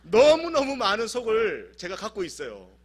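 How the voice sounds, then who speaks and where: A man from South Korea preaching a sermon in church, telling stories in an animated, humorous way. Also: high voice, moderate pace, slightly rough. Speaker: pastor. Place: church service.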